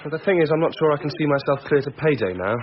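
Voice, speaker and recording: A man's voice: fairly high pitch, expressive, rapid-fire, natural voice. An actor playing a glum, sheepish junior policeman in a British radio drama. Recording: muffled radio recording, faint noise.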